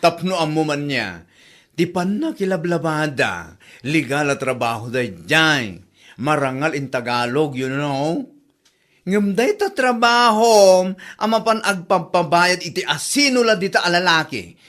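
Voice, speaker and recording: A man acts out a gossipy, mocking busybody for a radio comedy drama. The voice sits high and swings wildly up and down. The delivery is theatrical, teasing and full of exclamations. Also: animated, unhurried, fairly bright tone. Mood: taunting.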